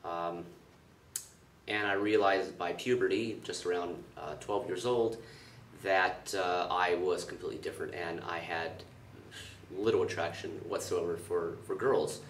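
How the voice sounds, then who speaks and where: Deep-voiced man in his fifties. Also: fairly even intonation, reverberant, distant mic, a little hiss or hum. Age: 50s. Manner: halting, with fillers. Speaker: interviewee. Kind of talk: documentary interview.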